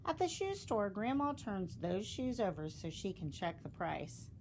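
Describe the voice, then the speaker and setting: Lively woman reading a storybook aloud. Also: medium pitch, measured pace, background noise. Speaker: storybook reader. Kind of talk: expressive children's read-aloud narration.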